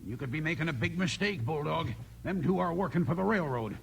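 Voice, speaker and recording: A male actor playing a gruff, bossy, suspicious cowboy gunman with a Western accent in a 1950s radio drama. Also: a little high, animated, a little fast. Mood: resentful. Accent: American Western. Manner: gruff, commanding. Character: hard-nosed, suspicious, bullying western gunman. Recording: noisy vintage 1950s radio recording.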